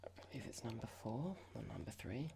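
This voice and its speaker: An ASMR performer playing a cold, condescending boss in a roleplay, speaking softly in a measured, formal, halting and passive-aggressive way. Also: mid-range voice, sing-song, background noise. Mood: stern, condescending. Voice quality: breathy, creaky whisper. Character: cold condescending boss about to fire an employee. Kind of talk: ASMR roleplay, whispered and soft-spoken.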